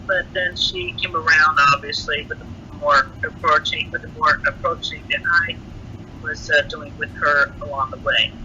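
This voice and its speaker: A man speaks in a piercingly bright, tense voice on a muffled phone recording with faint noise. His delivery is halting and stiff, and his wording is oddly formal for a private chat. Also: fairly high pitch, fairly even intonation. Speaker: interviewee on a leaked call.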